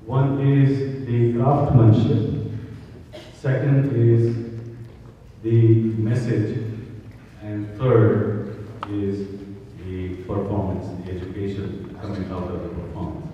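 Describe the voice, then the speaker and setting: An older man from the Indian subcontinent gives a formal welcome speech as event host, speaking in deliberate, emphatic phrases with pauses. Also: fairly low pitch, level tone. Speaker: event host introducing a play. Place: theater stage, recorded from far off. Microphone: handheld mic through speakers, far camera. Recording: echoey, muffled, noisy hall from far off.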